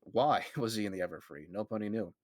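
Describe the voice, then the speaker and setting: A man's voice: fairly low pitch, quick pace, dry recording. Man narrating a story, dry close sound. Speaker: narrator of a group fan fiction reading. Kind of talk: story narration with amused asides to friends.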